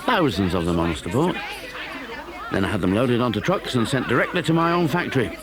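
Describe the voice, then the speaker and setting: Man acting a blustering rich dad, very animated. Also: mid-range voice, a little fast, close to an everyday voice. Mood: boastful, exasperated. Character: boastful, doting, indulgent rich factory-owner father. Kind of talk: audio drama acting. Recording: noisy crowd ambience behind.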